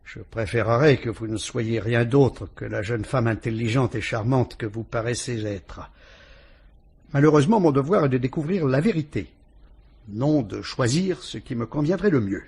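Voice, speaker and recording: An actor with a French accent playing a calm, shrewd police inspector who politely and ironically probes a suspect in a vintage radio drama. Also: male voice, medium pitch, slightly rough. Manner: measured, probing, politely ironic.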